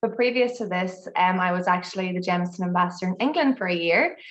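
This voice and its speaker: A woman's voice: lowish voice, fast talker. Irish woman, brand ambassador, friendly and chatty, muffled call audio. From Ireland. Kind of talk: virtual tasting masterclass, friendly presenting.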